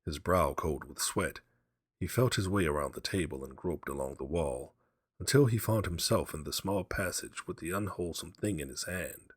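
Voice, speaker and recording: Deep, husky man narrating a dark story. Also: no room sound, clean studio sound. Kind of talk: dramatic scripted narration of a horror story.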